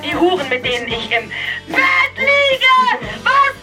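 A woman's voice: extremely high pitch, sing-song, slow pace, far from the mic, heavily acted. An actress playing a despairing, disoriented woman in a declamatory radio drama monologue. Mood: lost, insistent. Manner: declamatory, breaks into screamed outbursts. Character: despairing, disoriented woman ranting about her relationships. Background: music.

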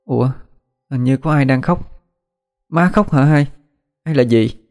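A man voicing a sick, frightened young girl in a Southern Vietnamese accent, halting and pleading in an audio story. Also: medium pitch, a little mellow, faint music behind, pitched above the performer's own voice, close to an everyday voice. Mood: curious. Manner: halting, pleading, repeats words. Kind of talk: dramatised audio story narration.

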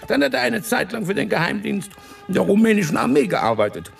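Gravelly, high-pitched, swooping police investigator. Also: male voice, sing-song, brisk, recorded from a distance, faint music behind, full character voice. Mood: matter-of-fact. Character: knowing, self-satisfied male police investigator. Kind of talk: German radio play dialogue.

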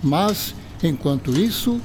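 Earnest Brazilian male scientist, courteous and didactic, higher voice, radio drama with faint noise. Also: lively intonation, slow pace, a little mellow. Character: earnest scientist doctor, courteous, patiently explaining. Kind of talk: educational radio drama acting.

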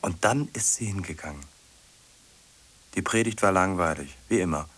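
An actor playing a solitary middle-aged man in a radio drama, ruminating in short questioning fragments with a husky, breathy voice. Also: sits low, normal speed, a bit bright, soft background music. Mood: detached, puzzled. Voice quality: husky, breathy, often sinking to a whisper. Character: solitary man fixated on a lonely woman, musing.

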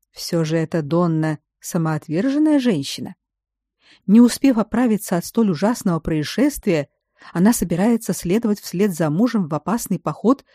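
A woman narrating a first-person audiobook at a steady, even pace on a close studio mic. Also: on the low side, no echo.

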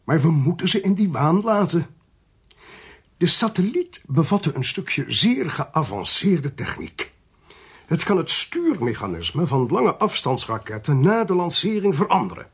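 A male actor in a Dutch radio play, voicing a cold, smooth-talking, ruthless intelligence official in a measured, condescending way. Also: a little high, sing-song, full character voice. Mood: confiding, grave.

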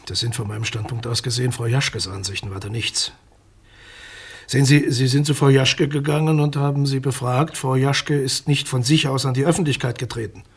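An actor playing a condescending, legalistic state prosecutor in a radio crime drama, formal and measured, with a husky voice. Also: male voice, mid-range voice, fairly even intonation. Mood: dry, dismissive.

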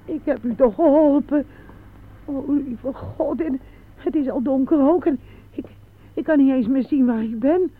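An actress in a radio play, playing a frail, timid and anxious elderly woman who stammers, pleads and repeats her words in a husky, breathy voice. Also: high voice, measured pace. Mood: panicked calling, then frightened. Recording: muffled, narrow-band old radio play.

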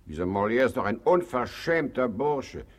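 A man's voice: medium pitch, sing-song, moderate pace. An actor playing a fussy, self-pitying male hypochondriac in a radio comedy, whining, moaning and flaring into indignation. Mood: indignant. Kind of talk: German radio play comedy acting.

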